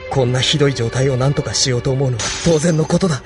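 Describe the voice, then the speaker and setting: An actor playing an idealistic, troubled young rebel leader in an anime audio drama, intense and questioning. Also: male voice, mid-range voice, fast, over music, close to an everyday voice. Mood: bitter.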